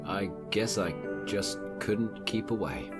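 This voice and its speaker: A man's voice: on the low side, takes it slowly. Guy acting tired wary stranger in an audio drama, kinda reserved and hesitant, music playing behind. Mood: awkward, tired. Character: weary, wary male newcomer, an armoured wanderer.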